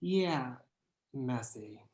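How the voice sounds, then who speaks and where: A man acting a blunt, loyal twenty-something best friend, deadpan and curt, in a comedy screenplay read over a video call. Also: mid-range voice, drawn-out words. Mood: exasperated, judging. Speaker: actor at an online screenplay table read. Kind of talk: dry comedy screenplay reading. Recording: roomy, distant video-call sound.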